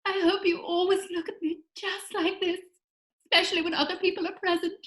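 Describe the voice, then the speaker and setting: A woman's voice: very high voice, level tone, brisk, a bit bright. A woman playing a wilful, adoring young upper-class Victorian lady in a theatrical comedy reading, with affected, precise diction. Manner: affected, precise, well-spoken. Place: virtual performance from home in isolation.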